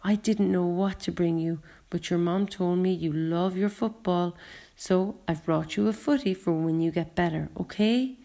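A woman's voice: fairly low pitch, moderate pace, gravelly, dark tone. A woman voicing a remorseful, kind Scottish father, earnest and apologetic, with a wobbly voice, in an audiobook story. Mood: gentle, apologetic. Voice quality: wobbly, emotional voice. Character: remorseful Scottish father who caused an accident, upset and kind.

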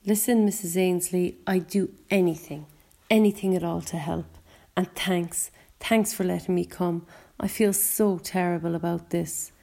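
A woman's voice: lowish voice, fairly even intonation, unhurried, gravelly, warm tone. A woman voicing a remorseful, kind Scottish father, earnest and apologetic, with a wobbly voice, in an audiobook story. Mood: earnest, grateful. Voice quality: wobbly, emotional voice. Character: remorseful Scottish father who caused an accident, upset and kind.

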